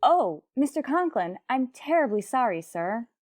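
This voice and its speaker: An actress playing a wry, quick-witted high school teacher in an old-time radio comedy, arch and polite in manner. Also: female voice, big pitch swings, noisy background. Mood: apologetic. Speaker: lead actress.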